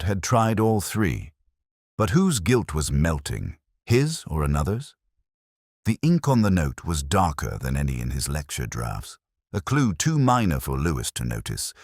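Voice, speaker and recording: A man reading scripted first-person narration for a detective mystery in a measured, literary, reflective manner. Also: low voice, wildly swooping pitch, a little mellow, dry recording, studio quality.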